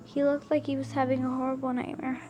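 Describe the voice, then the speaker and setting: A woman reading a first-person story aloud as an amateur narrator, haltingly, often stumbling and rereading words. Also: high-pitched, level tone, noisy background. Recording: music behind the voice. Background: music.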